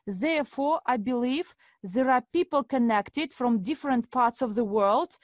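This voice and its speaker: A woman's voice: on the high side, a little slow, no echo, studio quality. Woman hosting a webinar. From Estonia. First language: Russian. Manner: formal, addresses audience as dear friends. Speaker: webinar host. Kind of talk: live online webinar presentation.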